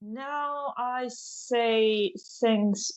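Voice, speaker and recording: A woman whose first language is Japanese, chatting on a podcast as a comedian guest, halting and laughing often. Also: a little high, rough recording. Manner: hesitant, halting phrasing with frequent laughs. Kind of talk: casual podcast chat.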